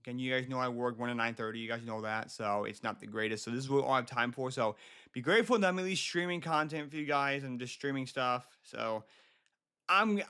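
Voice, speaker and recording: An American man hosts a casual livestream in a bright, forward voice at a middling pitch. He speaks haltingly, circling back and repeating his phrases as he addresses his viewers. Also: clean tone. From Michigan, United States. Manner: halting, repeats himself often. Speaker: livestream host.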